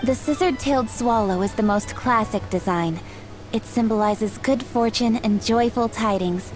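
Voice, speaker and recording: A gentle, knowledgeable young woman voiced by an actress, measured and formal in her speech. Her voice sits a little high. Clear game music plays behind her. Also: natural voice, low-quality recording. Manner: soft-spoken, measured, formal.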